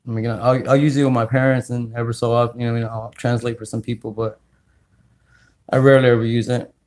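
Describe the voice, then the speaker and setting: A man from California hosting a casual livestream, talking slowly with many fillers as he greets viewers. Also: medium pitch, little pitch movement, darkish tone. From California, United States. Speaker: livestream host. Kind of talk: casual livestream chat while sorting coins.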